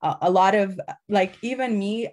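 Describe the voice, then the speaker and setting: A woman's voice: medium pitch, normal speed, darkish tone. A young woman from Afghanistan speaking as a community organizer guest on a livestream, earnest and full of "like"s and restarts. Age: young adult. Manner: earnest, filler-heavy with many "like"s and restarts. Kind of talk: livestream conversation.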